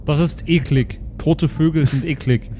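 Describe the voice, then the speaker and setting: A man's voice: fairly high pitch, fairly even intonation, unhurried, dark tone, natural voice. A man playing a naive, squeamish son in a bounty-hunter crew, speaking simply in an improvised audio play with noise. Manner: simple, childlike, plain-spoken. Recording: clearly noisy background.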